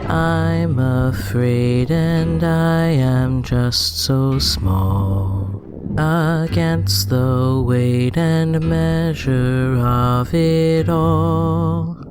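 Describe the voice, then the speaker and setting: A woman singing a melancholy, slow song in an audio drama. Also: low-pitched. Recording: music with the voice.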